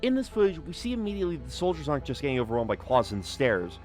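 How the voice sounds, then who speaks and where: A man's voice: mid-range voice, sing-song, fast, darkish tone, faint music behind. A man narrating a scripted video analysis with casual asides, in an emphatic, conversational and informal way. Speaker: video essay host and narrator.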